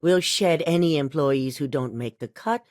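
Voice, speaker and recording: A woman's voice: low voice, moderate pace, a little mellow, no echo, studio quality, close to an everyday voice. An actress voicing a controlled, commanding middle-aged female chief executive in a video game, with a businesslike delivery. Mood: cool. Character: cold calculating female corporate CEO.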